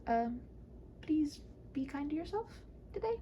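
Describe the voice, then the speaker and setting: A woman's voice: high voice, very animated, measured pace, warm tone, a little hiss or hum. A woman reading a humorous story aloud as a storyteller, whimsical, with conversational asides.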